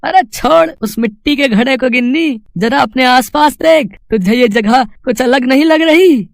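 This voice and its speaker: A man's voice: very high voice, moderate pace, vocal fry, no room sound, clean studio sound. Man voicing a hesitant, earnest poor village husband. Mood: excited. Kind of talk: animated moral storytelling voice acting.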